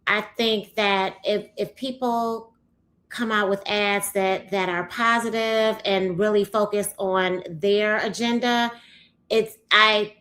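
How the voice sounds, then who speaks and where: Middle-aged woman, podcast guest, unhurried, hesitant, middle pitch. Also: steady tone, fairly bright tone. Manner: slow, hesitant, with restarts. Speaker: guest, the host's mother. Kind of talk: casual conversation.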